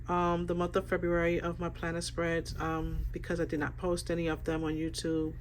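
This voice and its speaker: A woman's voice: fairly low pitch, slight background noise, poor audio. A woman with a stuffy, congested voice, chatting casually and rambling through a planner flip-through with lots of fillers. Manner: relaxed, rambling, many fillers. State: stuffy from a sinus infection. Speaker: planner vlogger.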